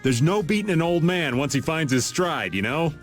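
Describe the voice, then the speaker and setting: An actor playing a playful, boastful, easygoing middle-aged rogue in a game skit, with a joking, folksy, theatrical delivery. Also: male voice, a little high, expressive, moderate pace, lightly acted. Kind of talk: animated game skit acting. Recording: game music behind voice.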